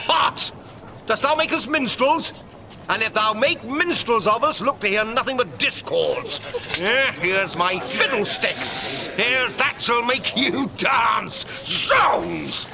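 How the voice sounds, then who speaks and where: An actor playing a mocking, quick-witted, hot-headed young nobleman in a British accent, in a theatrical Shakespearean radio drama. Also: very high voice, animated, gravelly, a crowd in the background, heavily acted. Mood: defiant. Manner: theatrical, teasing, emphatic.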